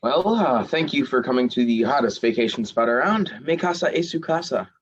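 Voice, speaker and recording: A man's voice: mid-range voice, lively intonation, brisk, muffled recording, lightly acted. A man voicing a lovestruck, laid-back god of the underworld who flirts and jokes. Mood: casual. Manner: smooth, flirty, joking.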